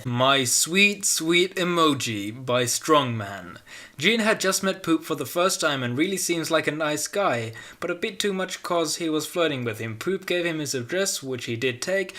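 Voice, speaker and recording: A man's voice: on the high side, bright, forceful tone. A man hosting a comedic YouTube video, reading aloud and commenting in a sardonic, casually sweary way. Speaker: YouTube host.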